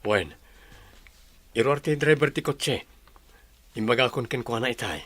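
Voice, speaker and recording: A man's voice: medium pitch, animated, measured pace, a bit bright. A man with an Ilocano Filipino accent playing a practical husband and father in a radio drama. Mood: matter-of-fact. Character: practical husband and father discussing family travel.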